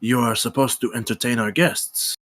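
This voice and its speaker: A woman's voice: very deep voice, steady tone, moderate pace. A woman playing an elegant, furious young aristocratic wife in a dramatic table read. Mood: exasperated, furious.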